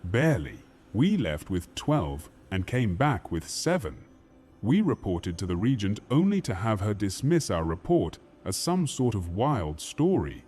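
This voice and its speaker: A man's voice: lowish voice, sing-song, measured pace, a little mellow, husky, heavily acted. A man playing a coarse, battle-weary castle warrior, blunt and dramatic, in a fantasy audio drama. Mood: weary, indignant.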